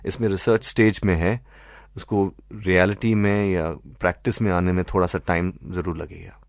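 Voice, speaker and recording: A man with an Indian accent answering as an expert doctor in an interview, measured and methodical, mixing in English phrases. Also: low-pitched, flat delivery, gravelly. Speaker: eye doctor, expert guest.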